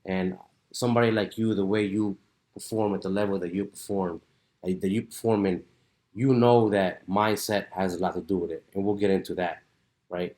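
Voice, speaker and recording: A man hosts a podcast with a low voice and an easygoing manner. He asks his questions casually and answers with enthusiastic slang. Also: steady tone, normal speed, a little mellow. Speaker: podcast host. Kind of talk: casual interview.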